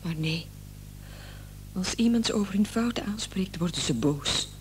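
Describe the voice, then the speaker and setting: An actress in a radio drama playing the distraught, reproachful wife of a gravely ill man. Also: on the low side, animated, moderate pace, natural voice. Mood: bitter, reproachful. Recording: old radio play, faint noise and hum.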